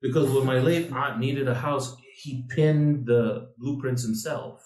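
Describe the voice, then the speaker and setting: A man's voice: mid-range voice, steady tone, unhurried, a little mellow, distant mic. A man co-hosting a casual podcast chat, rambling through his thoughts with frequent restarts and fillers. He sits a little back from the mic, so his voice carries some room echo. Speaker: podcast co-host.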